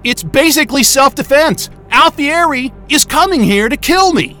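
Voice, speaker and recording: A man's voice: extremely high pitch, animated, moderate pace, bright, forceful tone, faint music behind, exaggerated voice. An actor playing a frantic, exhausted and angry station crewman in a science-fiction audio drama, agitated, sweary and stammering. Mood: desperate.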